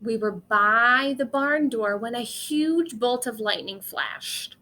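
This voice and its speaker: A woman reads a children's story aloud in a bright, fairly high voice. She is expressive with dialogue and sound words and takes her time. There is faint noise behind her. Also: takes it slowly.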